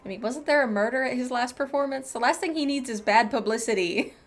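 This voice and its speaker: A woman's voice: a little high, fast. A woman giving casual, enthusiastic, gushing commentary as she plays through a game. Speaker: game streamer. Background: game music.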